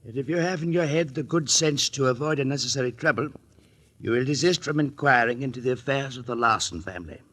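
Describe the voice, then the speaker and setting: A dried-up old lawyer , played by a male actor in a 1940s radio mystery. His mid-pitched voice is dry and brittle. He speaks formally and precisely, putting quiet menace under polite words. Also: fast, natural voice. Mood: coldly threatening. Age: 70s. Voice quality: dry, papery, cracked. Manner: formal, precise, veiled threats. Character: dried-up, shrewd, menacing old male attorney, about seventy.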